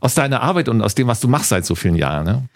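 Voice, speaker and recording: A man's voice: mid-range voice, very animated, rapid-fire, dry recording, studio quality. A man hosting a podcast in a casual, friendly way, tossing questions and tag questions to his guest. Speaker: podcast host. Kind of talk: casual interview chat. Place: studio, in a room with the guest.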